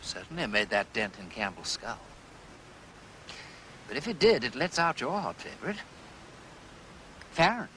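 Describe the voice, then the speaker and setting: A courteous, urbane aristocratic English detective in period drama. His upper-class voice sits at a middling pitch and leaps about dramatically, with faint noise behind it. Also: big pitch swings, unhurried, long pauses, bright, forceful tone, poor audio. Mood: excited. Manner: effusive, urbane, old-fashioned politeness.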